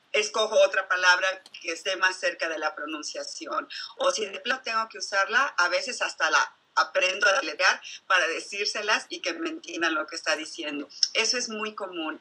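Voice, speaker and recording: A woman psychologist, answering interview questions thoughtfully and filling pauses with "este" and "¿no?". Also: mid-range voice, sharp tone, husky, low-quality recording. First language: Spanish. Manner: thoughtful, many fillers like este and ¿no?. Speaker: psychologist guest.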